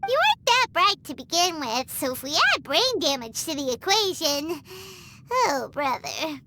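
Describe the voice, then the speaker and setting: Squeaky tiny fairy girl. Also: very animated, normal speed, noisy background. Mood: mocking. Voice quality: squeaky, childlike. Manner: speaks of herself in third person, exclamatory. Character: Paimon, tiny childlike floating fairy girl companion, cheeky. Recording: music behind the voice.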